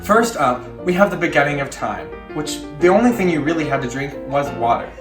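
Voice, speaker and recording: A man's voice: a little high, lively intonation, fairly quick. A young man presenting scripted educational narration. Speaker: presenter and student actor on a sound team. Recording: roomy echoey recording with music. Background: plucked guitar background music.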